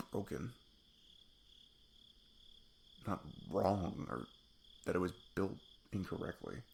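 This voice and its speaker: A man playing a sweet, nervous best friend in an intimate ASMR roleplay, halting and self-deprecating as he opens his heart. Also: sits low, vocal fry, darkish tone, a little hiss or hum, heavily acted. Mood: vulnerable, self-deprecating.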